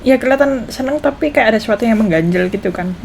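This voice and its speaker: Casting: female game streamer, fairly high voice, casual commentary, faint noise behind. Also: expressive, very fast, vocal fry, darkish tone. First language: Indonesian. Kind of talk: casual gameplay commentary, reading choices aloud.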